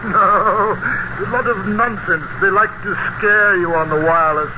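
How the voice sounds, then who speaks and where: An actor playing a breezy, overconfident farmer neighbour in an old radio drama, jovial and dismissive. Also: male voice, high-pitched, a little slow. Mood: scoffing. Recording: noisy, dull old radio recording.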